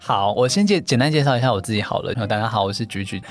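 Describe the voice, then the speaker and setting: Man, podcast guest, brisk pace, gentle earnest manner, trailing fillers. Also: on the low side, animated, soft background music. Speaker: guest, dating event founder. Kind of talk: casual interview chat.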